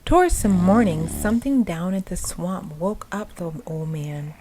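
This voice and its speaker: A woman storyteller narrating a ghost story in a suspenseful, expressive, dramatic style. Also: lowish voice, unhurried, a little hiss or hum. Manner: suspenseful, expressive, dropping to breathy hush. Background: music.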